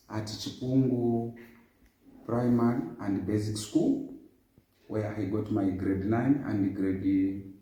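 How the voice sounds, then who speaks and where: A man in his fifties answers interview questions in a measured, formal way, with a lowish, very dark and mellow voice. He is well away from the microphone, so the voice echoes around a hard room. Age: 50s. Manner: measured, formal, lists dates and places. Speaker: interview guest. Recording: echoey, distant room sound.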